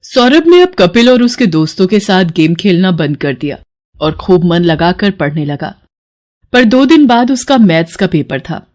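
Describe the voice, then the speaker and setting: A woman's voice: fairly low pitch, big pitch swings, normal speed, a little mellow. A woman storyteller reading scripted narration for a children's moral story.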